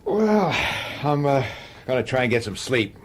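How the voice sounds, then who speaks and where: A gruff, wary detective from a radio play, blunt and urgent in everyday slang. His mid-pitched voice swings widely up and down. The recording has clear noise behind it. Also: big pitch swings, a little slow. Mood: weary. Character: gruff, wary male police detective and father. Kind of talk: radio drama acting. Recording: noisy old radio drama soundtrack.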